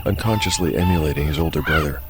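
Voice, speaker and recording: A man acting as game master and narrator of a dark fantasy audio drama. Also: rumbling low, fast talker.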